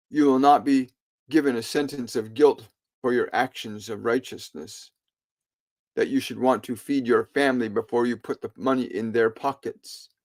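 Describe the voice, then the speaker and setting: A man delivering a solemn spiritual sermon in formal, oratorical phrasing, breaking it into short, halting phrases with frequent pauses. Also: medium pitch. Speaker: spiritual channeler delivering a message.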